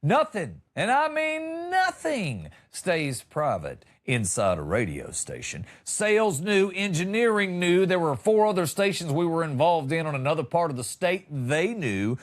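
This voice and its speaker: Animated higher-pitched man telling comic stories. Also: unhurried, few pauses. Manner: wry, exaggerated storytelling with emphatic punchlines. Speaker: comedian and podcast host. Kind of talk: comic storytelling monologue.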